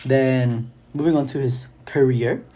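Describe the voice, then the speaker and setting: Young man with a mellow voice. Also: mid-range voice, fairly even intonation, unhurried, a little hiss or hum. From from Chile, raised in Argentina. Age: young adult. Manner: explains at length, with a few restarts and fillers. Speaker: student guest. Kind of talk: casual interview answers.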